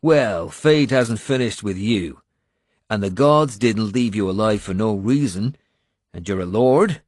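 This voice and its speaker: A man voicing a blunt, gruff Irish male warrior with an Irish accent, scolding and coarse. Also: on the low side, animated, measured pace, a little mellow, no room sound, clean studio sound.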